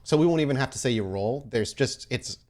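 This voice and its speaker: A man's voice: medium pitch, expressive, brisk, a little mellow. A man running a tabletop role-playing game, narrating and improvising in a loose, conversational, amused way. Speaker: game master.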